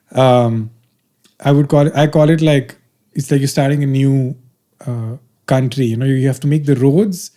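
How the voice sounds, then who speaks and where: A man's voice: on the high side, mellow. A man, hosting a casual podcast chat, playful and teasing, with many fillers and restarts. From India. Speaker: podcast host.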